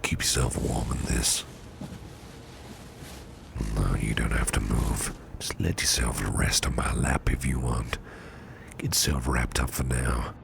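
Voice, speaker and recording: A caring businessman boss, acted by a man for an ASMR roleplay, speaks in an extremely deep, heavily creaky murmur that often slips into whisper. He is gentle, protective and reassuring, taking his words slowly. Clear background noise sits behind the voice. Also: flat delivery, exaggerated voice. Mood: soothing, tender. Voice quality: breathy near-whisper with gravelly fry. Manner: tender, slow, reassuring murmur. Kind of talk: ASMR roleplay, soothing personal attention.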